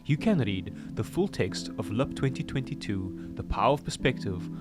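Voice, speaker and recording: A man's voice: medium pitch, lively intonation, moderate pace. A man reading a scripted announcement as the announcer of an audio series. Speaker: announcer of intro and outro. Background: plucked guitar music.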